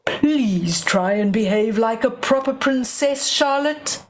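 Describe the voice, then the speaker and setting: Woman as a stern king, storybook father, admonishing, formal, slow delivery, slightly high pitch. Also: expressive, a little hiss or hum. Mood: exasperated. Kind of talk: storybook read-aloud character.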